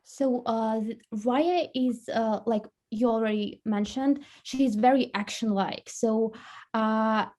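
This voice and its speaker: A woman's voice: a little high, slow, darkish tone. A woman interviewer asking questions casually, tentatively feeling her way through each one.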